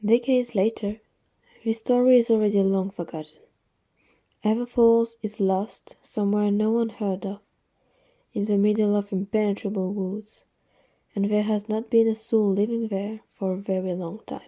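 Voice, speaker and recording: A woman reading a scripted story in a soft, breathy, hushed voice with gentle pauses. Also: medium pitch, little pitch movement. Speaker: narrator.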